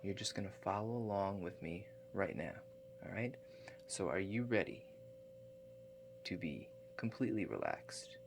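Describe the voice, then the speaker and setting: A man doing a softly spoken ASMR roleplay as a calm relaxation agent, hushed and halting, with gentle check-in questions. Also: low voice, gravelly, background noise. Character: calm, awkward house-call relaxation agent. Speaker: ASMR performer.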